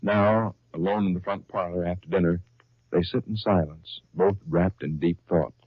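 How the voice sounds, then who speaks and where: A man's voice: low-pitched, fairly even intonation, normal speed, no echo. A man working as a radio announcer and narrator in 1930s style, reading from a script with theatrical emphasis. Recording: dull, lo-fi 1930s radio recording.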